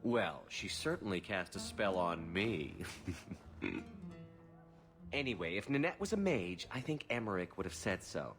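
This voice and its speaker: An actor playing a charming male courtesan who talks in a smooth, flirtatious and self-mocking way. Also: low voice, sing-song, measured pace, fairly bright tone, exaggerated voice. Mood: flirty. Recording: game cutscene with guitar music.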